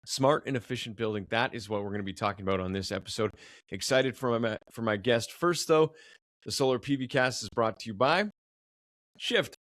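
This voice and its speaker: A man's voice: mid-range voice, fairly quick, a bit bright, no room sound, studio quality. A man hosting a podcast, upbeat and enthusiastic, often repeating words as he goes. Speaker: podcast host. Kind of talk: podcast interview.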